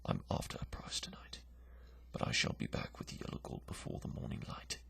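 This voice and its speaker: A man voicing a romantic, daring highwayman in a dramatic poem, in a hushed, intimate, breathy whisper. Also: brisk, natural voice. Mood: tender vow. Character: romantic, daring highwayman murmuring to his sweetheart. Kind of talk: dramatic poetry recitation.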